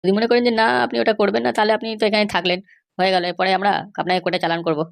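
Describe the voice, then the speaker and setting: A woman's voice: mid-range voice, very fast, gravelly, natural voice. A woman voicing a stubborn, bribe-seeking police officer in a comedy cartoon, insistent, lecturing and dismissive. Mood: insinuating. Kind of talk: Bengali comedy cartoon dialogue.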